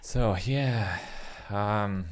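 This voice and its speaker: A young man with a low, smooth voice rambles to his viewers in English, which is not his first language. He talks loosely, with fillers and restarts as he goes. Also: drawn-out words. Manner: rambling, self-correcting, frequent fillers. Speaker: vlogger. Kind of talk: casual spoken practice vlog.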